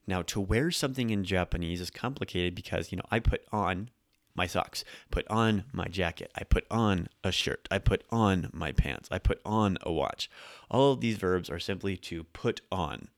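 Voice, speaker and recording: A man's voice: deep voice, normal speed, no echo, studio quality. A man hosting a casual Japanese lesson, explaining in an upbeat, conversational way. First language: English. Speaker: podcast host teaching Japanese.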